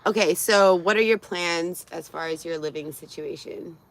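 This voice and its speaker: Raspy-voiced woman chatting casually. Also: fairly low pitch, moderate pace, poor audio. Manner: rambling, many fillers and restarts. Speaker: vlog host. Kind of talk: casual chat.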